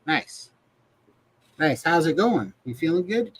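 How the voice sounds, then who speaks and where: Animated man hosting a casual chat. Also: a little high, slow pace, low-quality recording. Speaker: host of a live video chat show. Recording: clear background noise.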